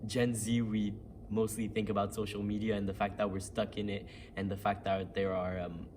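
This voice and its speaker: Teen boy, Canadian, low voice, thoughtful interviewee with fillers. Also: flat delivery, normal speed, noisy background. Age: teens. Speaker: young actor interviewed. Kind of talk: casual interview answers.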